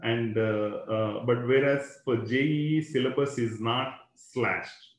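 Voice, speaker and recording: A man's voice: mid-range voice, measured pace, reverberant. A man, a school educator explaining exams in an online webinar, talking into a computer microphone with many fillers and a habitual "right?".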